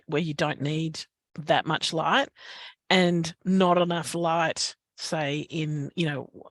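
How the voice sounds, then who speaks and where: An Australian woman giving advice in an online Q&A, hesitant with many restarts, her voice going hoarse. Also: lowish voice, no echo. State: losing her voice, coughing. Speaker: guest speaker on climate reporting. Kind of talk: online webinar Q&A.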